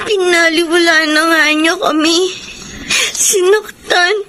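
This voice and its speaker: Tearful, wounded Filipino granddaughter, slow sobbing delivery, radio serial, faint noise behind. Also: female voice, very high-pitched, fairly even intonation, sharp tone, exaggerated voice. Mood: tearful, angry. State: crying. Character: tearful, hurt young granddaughter angry at her father. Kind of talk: Filipino radio drama acting.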